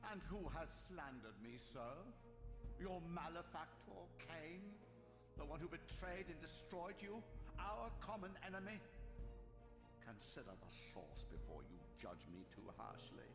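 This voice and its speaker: An actor playing a sly, silver-tongued aged sorcerer in a video game, speaking in grandiose, unctuous, deliberate phrases with a creaky voice. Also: male voice, on the high side, sing-song. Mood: persuasive, scheming. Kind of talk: theatrical video game voice acting. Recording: echoey hall reverb, music behind.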